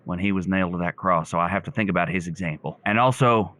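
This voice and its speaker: A man's voice: sits low, fast talker, a bit bright. A man playing an earnest, grandiose, guilt-ridden caller in an improvised comedy radio show, rambling with solemn melodrama. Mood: solemn, devout.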